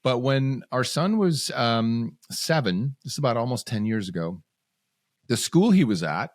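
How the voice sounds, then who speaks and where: Man, fairly low voice, animated storyteller, restarts phrases, dry recording. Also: dry recording. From Switzerland. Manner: animated, talks in quick bursts with sudden rises, restarts phrases. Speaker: podcast guest, filmmaker. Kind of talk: casual storytelling interview.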